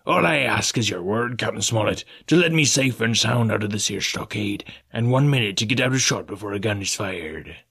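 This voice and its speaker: A man's voice: lowish voice, lively intonation, normal speed, gravelly, hardly any pauses, a bit bright, husky, exaggerated voice. A man voicing a wily, jovial one-legged old male pirate, talking in a wheedling, mock-polite way.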